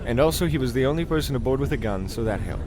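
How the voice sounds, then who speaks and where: An actor playing a pompous, melodramatic, absurdly self-assured detective in the theatrical style of old radio comedy. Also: male voice, mid-range voice, lively intonation, racing, noisy background, close to an everyday voice. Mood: smug. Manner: theatrical, grandiose deductive declarations.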